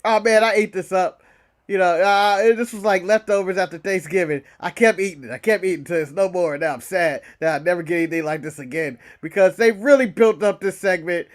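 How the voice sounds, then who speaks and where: A man's voice: high voice, brisk. A man co-hosting a wrestling podcast in casual, opinionated chat, hammering points home with repetition and swearing. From United States. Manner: animated, repetitive emphasis, profane.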